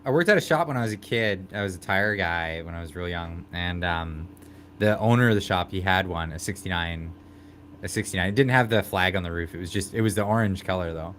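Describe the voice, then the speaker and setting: Laid-back man in his forties, low voice. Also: brisk, fairly bright tone, a little hiss or hum. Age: 40s. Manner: laid-back, laughs often. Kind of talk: casual chat.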